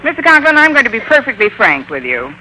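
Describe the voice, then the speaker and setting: Give this the sound of a vintage radio comedy with faint noise. a wisecracking American woman schoolteacher, long-suffering and dryly sardonic. Her voice is forceful and bright, with lively swings in pitch. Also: fast, poor audio. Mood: flustered, pleading. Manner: dry, sardonic delivery. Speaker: lead actress and narrator. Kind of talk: 1940s-50s radio comedy acting.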